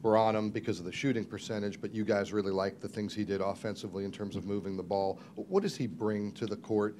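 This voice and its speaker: A man's voice: lowish voice, steady tone, fast, background noise. A man, a reporter, asking a follow-up question at a press conference.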